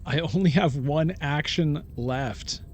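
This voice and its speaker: A man giving casual game commentary as a let's-play host, thinking aloud in a halting, deliberating way and often questioning himself. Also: a little high, slight background noise. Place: solo recording of a digital board game playthrough.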